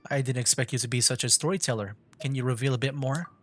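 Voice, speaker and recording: A man's voice: mid-range voice, fairly even intonation, fast, a little hiss or hum. A man livestreaming a video game, commenting casually and reading dialogue choices aloud. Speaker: gaming livestreamer.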